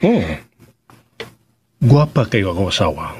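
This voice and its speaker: An actor playing a gentle, grieving widowed master of the house in a Filipino radio drama, halting and sentimental, with a Visayan accent. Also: male voice, on the low side, sing-song, slight background noise. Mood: wistful. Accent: Visayan Philippine.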